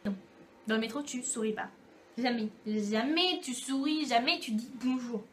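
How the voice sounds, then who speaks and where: A young French woman vlogging in a chatty, joking way, often slipping into little acted-out exchanges. Her voice sits high. A faint background noise runs under the recording. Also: moderate pace. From France. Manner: chatty, playful, acts out little dialogues. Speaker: YouTube vlogger. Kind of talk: casual comic monologue to camera.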